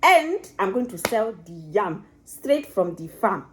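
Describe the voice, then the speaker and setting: A woman's voice: mid-range voice, very animated, slow pace, a bit bright. Ghanaian lady talking to her phone while cooking, lots of "like", slight background hiss. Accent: Ghanaian, mixed with Italian and British touches. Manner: chatty, lots of "like" and restarts, shifts accents playfully. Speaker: vlogger. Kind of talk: casual vlog chat while cooking. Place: home kitchen, indoors. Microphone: phone camera on the counter, filming from below.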